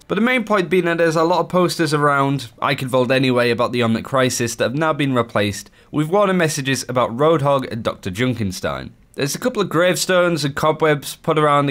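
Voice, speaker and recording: A man's voice: on the high side, a bit bright. A man presenting a gaming video in a chatty, explanatory voice-over style. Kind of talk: gaming news commentary voice-over.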